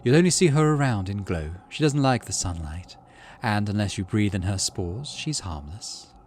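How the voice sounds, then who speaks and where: A man voicing a calm, polite alien forest dweller in a video game, with measured, formal, gently wry phrasing. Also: fairly low pitch, a little hiss or hum, close to an everyday voice. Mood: gently amused.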